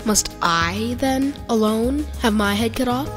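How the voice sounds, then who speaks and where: A woman's voice: a little high, expressive, unhurried. A young female amateur reader voicing questioners and messengers in a scripted dialogue. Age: child or teenager. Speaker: reader of quoted character lines. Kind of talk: amateur scripted dramatic reading. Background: music.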